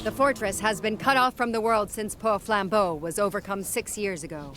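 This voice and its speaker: A woman's voice: medium pitch, normal speed, close to an everyday voice. An actress playing an earnest, devout woman soldier in a fantasy game, speaking formally and with urgency. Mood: grave warning. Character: earnest, devout female soldier leading a unit. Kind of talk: scripted fantasy game cutscene acting.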